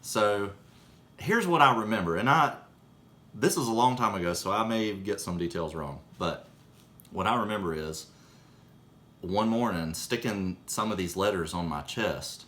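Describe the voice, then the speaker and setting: A man casually telling rambling anecdotes in conversation, halting and correcting himself as he goes. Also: lowish voice, expressive, a bit bright. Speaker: storyteller recalling his own childhood.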